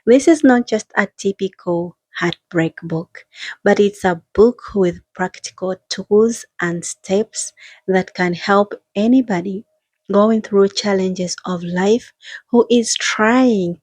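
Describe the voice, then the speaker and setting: A woman's voice: fairly low pitch, darkish tone, dry recording. A woman podcast host speaking directly to listeners in a promotional announcement.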